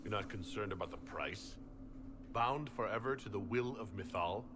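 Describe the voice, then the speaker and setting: An actor playing an earnest fantasy game hero and leader, direct, probing and serious. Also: male voice, fairly low pitch, normal speed, background noise, close to an everyday voice. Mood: challenging.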